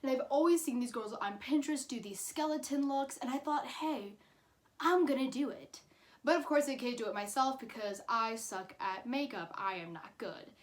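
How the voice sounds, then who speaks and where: A woman's voice: high-pitched, moderate pace. A woman in her twenties telling a personal story casually, in a chatty, informal way with dramatic emphasis. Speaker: YouTube storyteller.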